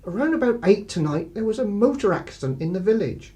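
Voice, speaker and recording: A man's voice: high-pitched, very animated, fast talker, warm tone. An actor playing a plodding, deferential but sly English village policeman in a radio play, hesitant and roundabout. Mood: quietly knowing. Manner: hesitant, apologetic, polite, roundabout.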